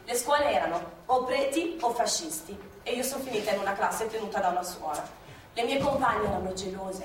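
A woman acting a defiant bookish schoolgirl, high voice, lively storytelling, echoey distant stage, faint hiss. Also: natural voice. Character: spirited rebellious young schoolgirl recalling her childhood. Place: theatre stage.

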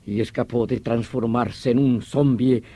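An actor in a radio drama playing a vehement veteran detective, explaining things in an emphatic, theatrical manner. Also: male voice, medium pitch, fairly even intonation, unhurried, dark tone. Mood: solemn, vehement. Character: vehement veteran police detective obsessed with hunting a vampire villain.

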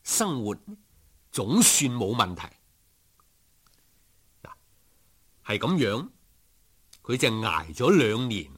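A man's voice: mid-range voice, big pitch swings, slow, long pauses, fairly bright tone, slightly rough. A man narrating a folk tale as an oral storyteller, in a colloquial, dramatic style.

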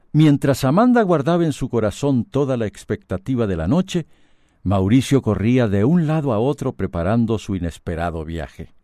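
A man's voice: on the low side, dark tone, no echo, studio quality. A man with a Costa Rican accent narrating a radio soap in a knowing, theatrical way.

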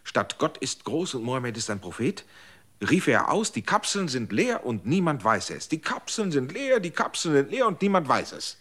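An anxious former rebel. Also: male voice, medium pitch, sing-song, moderate pace, a bit bright, slightly rough. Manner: earnest pleading, searching questions. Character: anxious former rebel, pleading, probing questioner. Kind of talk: radio drama acting.

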